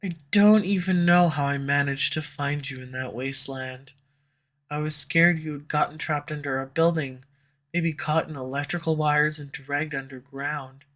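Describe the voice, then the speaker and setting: A woman voicing a sharp-tongued, tsundere female villain who swears and speaks sarcastically, recorded close on a studio condenser mic. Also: low-pitched, steady tone, normal speed. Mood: confessional. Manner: sarcastic, swears, turns confessional. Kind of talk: audio roleplay. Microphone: studio USB condenser mic.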